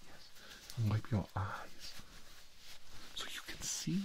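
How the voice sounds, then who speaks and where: A man playing an unhinged, brooding middle-aged scientist villain in a whispered ASMR roleplay, muttering haltingly and repeating himself. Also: on the low side, expressive, noisy background. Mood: scheming, soothing.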